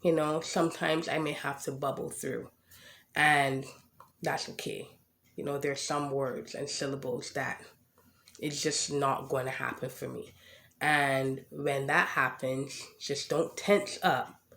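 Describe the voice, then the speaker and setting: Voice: mid-range voice, fairly even intonation, takes it slowly, vocal fry, a bit bright, a little hiss or hum. A podcast host who stutters and has a slight lisp, talking casually about personal experience with frequent fillers and repeated words. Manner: speaks with a stutter, occasional word repetitions; frequent "um" and "right?". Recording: echoey room.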